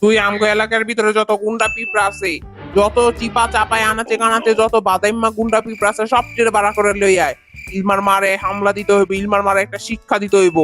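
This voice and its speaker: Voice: medium pitch, completely flat delivery, fast, fairly bright tone, soft background music, close to an everyday voice. A performer voicing a hot-tempered, commanding male gangster ant chief in a cartoon. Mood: angry, commanding. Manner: commanding, streetwise. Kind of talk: cartoon voice acting.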